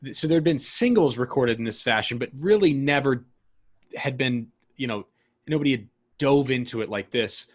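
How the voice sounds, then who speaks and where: A man's voice: mid-range voice, expressive, normal speed. Rambling, enthusiastic male podcast host. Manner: rambling, self-correcting, enthusiastic. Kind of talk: casual conversation about albums.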